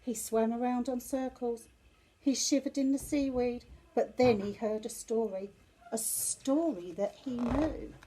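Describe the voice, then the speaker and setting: A woman reading a children's bedtime story in an expressive, deliberate storytelling manner. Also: on the high side, low-quality recording. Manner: slow, animated, deliberate storytelling.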